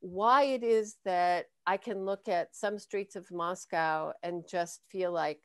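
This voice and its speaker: Thoughtful woman in her sixties, smooth voice. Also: fairly low pitch, takes it slowly, no room sound, clean studio sound. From United States. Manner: thoughtful, hesitant with many fillers and trailing restarts. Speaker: guest speaker. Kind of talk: conversational Q&A on a video call.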